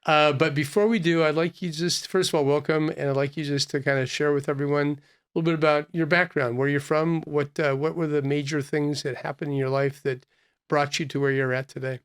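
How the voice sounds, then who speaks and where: A man hosting an interview podcast, asking questions in an unhurried, conversational way, often restarting his phrases. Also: fairly high pitch, fairly even intonation, smooth. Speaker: podcast host, physician.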